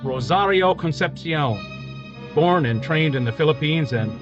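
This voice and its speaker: An actor playing a male police detective who narrates in a clipped, flat, matter-of-fact way in a parody police radio drama. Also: a little high, measured pace, lo-fi sound, music in the background.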